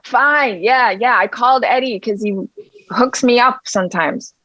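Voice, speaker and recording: A woman's voice: a little high, a little slow, sharp tone, no echo. A woman playing a brash, streetwise male gangster rapper in a script table read. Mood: defensive. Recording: dull, muffled lo-fi sound.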